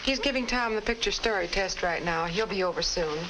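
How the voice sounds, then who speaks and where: A woman's voice: medium pitch, fairly quick, natural voice. A woman playing a composed, courteous clinic caseworker in a 1950 educational film, with polite professional delivery. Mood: businesslike. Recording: noisy, hissy vintage film soundtrack.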